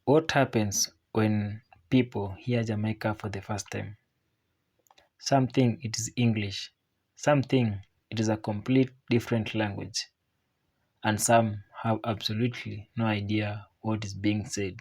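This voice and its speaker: A narrator delivers a scripted explainer at a medium pitch. The reading is measured and informative in style, set out in clear statements. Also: steady tone, a little mellow.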